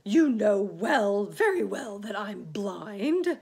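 A woman voicing a gloomy, irritable male earthworm who complains in loud, emphatic protest in a children's storybook. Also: medium pitch, animated, takes it slowly, slightly rough, pitched above the performer's own voice, full character voice. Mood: snappish. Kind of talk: children's storybook read aloud.

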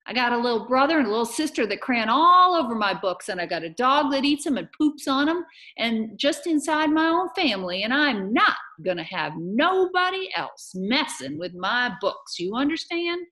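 A woman's voice: high-pitched, big pitch swings, normal speed, sharp tone, voice pushed up, exaggerated voice. A woman reading a children's story, voicing a fierce, indignant young girl who scolds emphatically in colloquial speech. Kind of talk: children's novel read aloud.

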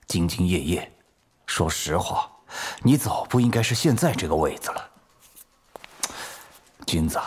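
男演员饰演的低沉沙哑的中年公安局副局长. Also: male voice, sits low, husky, heavily acted. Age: middle-aged. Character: cautious middle-aged deputy police chief, concerned old colleague. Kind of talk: Chinese audio drama acting.

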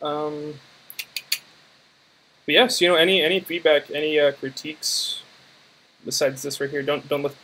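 A man's voice: a little high, flat delivery, normal speed, pauses often, sharp tone, slight background noise, poor audio. Hesitant man thinking aloud, casual. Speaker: visual effects artist presenting work in progress. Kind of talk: casual screen-recording walkthrough.

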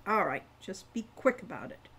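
A patient, cautious big brother in a fantasy storybook, read by a woman. He gives restrained instructions in a slightly husky voice. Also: mid-range voice, big pitch swings, moderate pace, a bit bright. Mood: stern, businesslike. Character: serious, patient older brother, businesslike and cautious.

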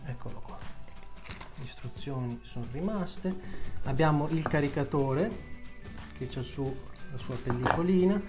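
A man's voice: medium pitch, a little slow, pauses often, over music. A man with an Italian accent casually narrating a tech unboxing, talking straight to his viewers with lots of fillers. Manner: chatty, addresses viewers directly, frequent fillers. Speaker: tech youtuber.

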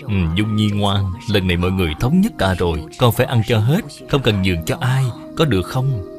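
A man voicing a gentle, doting elder, coaxing and indulgent, in an educational cartoon. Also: lowish voice, lively intonation, a little fast, over music, voice pushed down, close to an everyday voice.

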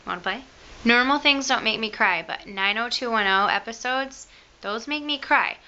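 A woman's voice: on the high side, normal speed, bright, forceful tone, highs cut off. A young woman with a Michigan accent, rambling and joking about herself as she talks to the camera in her bedroom. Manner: chatty, self-mocking, rambling asides. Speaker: beauty vlogger. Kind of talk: casual chat to camera. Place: bedroom at home, indoors. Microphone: camera close to the face.

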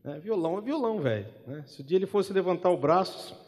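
A man's voice: high-pitched, lively intonation, fast, darkish tone. A middle-aged man from Brazil with a Brazilian accent, a gospel singer and guitarist talking in a chatty, wry way between songs and tagging his lines with a questioning "né?". He speaks into a stand mic on a church hall stage. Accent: Brazilian Portuguese. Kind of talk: relaxed spoken interlude between songs at a church concert. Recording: long hall reverb with music behind.

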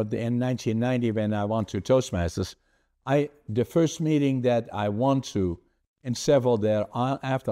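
Older dutch guy hosting a podcast over a video call, english is his second language, talks slow and careful, warm low tone, earnest about his life story. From Netherlands. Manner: earnest, deliberate, gestures with his hands. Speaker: podcast host. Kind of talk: conversational storytelling. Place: home office with framed certificates.

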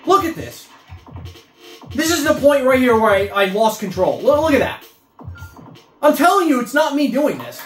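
Excitable young man yelling at a game. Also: very high voice, normal speed, pauses often. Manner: frustrated outbursts, cursing and yelling at the game. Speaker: gaming video creator. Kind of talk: casual gameplay commentary. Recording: roomy echo with faint game music.

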